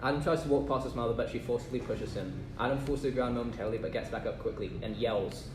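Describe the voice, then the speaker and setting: A man's voice: mid-range voice, fast talker. A man reading stage directions aloud from a script. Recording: echoey, distant room with noise.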